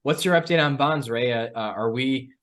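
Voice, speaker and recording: A man's voice: medium pitch, normal speed, a bit bright. A man hosting a financial discussion, giving conversational market commentary and putting questions to an analyst.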